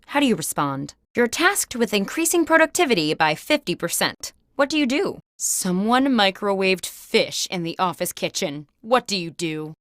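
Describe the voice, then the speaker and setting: High, swooping corporate interviewer. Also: female voice, a bit bright, dry recording, clean studio sound. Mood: playfully earnest. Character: chipper female job interviewer hosting a party game. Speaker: game show host character. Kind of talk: scripted game voice-over, job interview questions.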